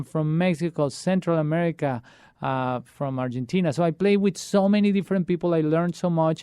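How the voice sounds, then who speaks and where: A man's voice: a little high, mellow, smooth, no room sound, clean studio sound. A man, a children's musician being interviewed, talking earnestly and often restarting his sentences. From Colombia. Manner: earnest, halting with many restarts. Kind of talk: casual interview talk.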